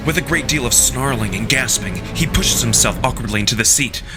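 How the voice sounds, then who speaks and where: A man giving an expressive, dramatic first-person narration of a visual novel, acting out the action. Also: medium pitch, brisk. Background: music.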